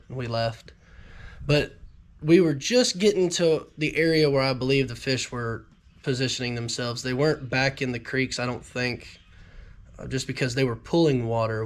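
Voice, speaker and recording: A young man, a little nasal from a recent illness, rambling casually to his viewers with lots of ums as a fishing vlogger. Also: mid-range voice. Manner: rambling, frequent ums and restarts. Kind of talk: casual talk to camera.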